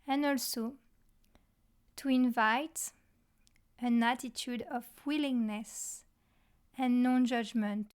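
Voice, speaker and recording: A woman teaching mindfulness, leading a guided meditation in measured short phrases with pauses between them. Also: a little high, steady tone, smooth.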